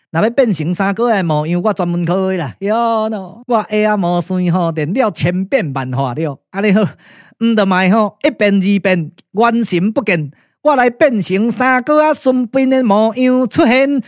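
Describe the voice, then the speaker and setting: A man's voice: high-pitched, moderate pace, no room sound, studio quality, close to an everyday voice. A man with a Taiwanese accent playing a boastful, bumbling shape-shifting younger brother, chatty and full of exclamations, in a puppet-theatre radio drama. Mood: eager, boastful.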